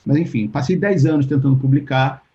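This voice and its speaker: A man's voice: medium pitch, fairly quick, warm tone. A Brazilian man talking as a writer in a casual interview. He thinks aloud, restarts often, and acts out the things people said to him. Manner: thoughtful, restarts often, acts out quoted exchanges. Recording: dull lo-fi call audio.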